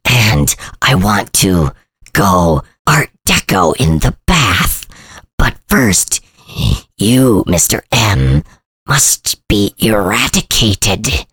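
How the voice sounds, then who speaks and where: A man putting on a slow deep-voiced monster centipede. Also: slightly rough, no echo, exaggerated voice. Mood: menacing drawl. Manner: slow, drawn-out, deep and deadpan. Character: slow menacing giant centipede, obsessed with decorating. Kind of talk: storytelling.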